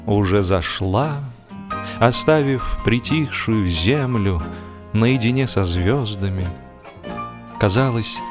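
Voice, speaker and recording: A man narrating a literary story in an expressive, dramatic radio style, telling it in the first person with deliberate pauses. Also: fairly low pitch. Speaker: audiobook narrator, actor. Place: radio studio. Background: music.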